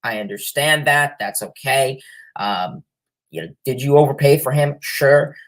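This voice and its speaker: A man's voice: medium pitch, measured pace, low-quality recording. Young guy with a cold doing a sports livestream, sniffly, super rambly, tons of filler words. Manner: rambling, heavy fillers and restarts. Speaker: livestream host. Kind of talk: casual sports chat reading viewer comments.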